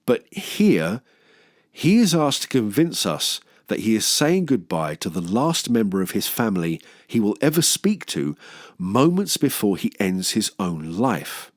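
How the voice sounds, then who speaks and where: A man's voice: fairly low pitch, big pitch swings, measured pace, no echo, clean studio sound. A man hosting a film review, delivering scripted video essay narration in an emphatic, opinionated way with colourful turns of phrase. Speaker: host of a film review channel.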